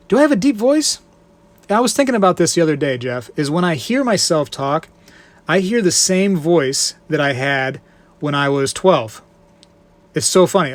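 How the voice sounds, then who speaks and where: A man with a slightly high-pitched voice hosts a relaxed live stream from home. He reads viewer comments aloud, jokes about them and rambles, chuckling between remarks. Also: no echo. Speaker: livestream host.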